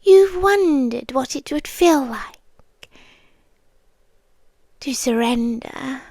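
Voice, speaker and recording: British woman doing a seductive yandere vampire gf asmr, high breathy voice, whispery, super close and dry, intimate. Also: darkish tone, no echo, heavily acted. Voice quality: breathy, often dropping into whispers. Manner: slow, intimate, lingering delivery. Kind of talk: ASMR roleplay.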